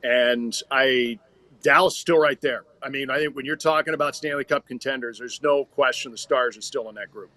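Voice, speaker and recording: A man's voice: mid-range voice, fairly quick, pushed and bright, no room sound. An American man, a radio hockey announcer, talking and telling stories conversationally as a podcast guest. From Minnesota, United States.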